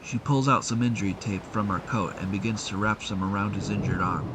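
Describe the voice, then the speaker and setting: A man's voice: on the low side, steady tone, a little fast, background noise. A man narrating a scripted adventure audio drama as its storyteller. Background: loud music.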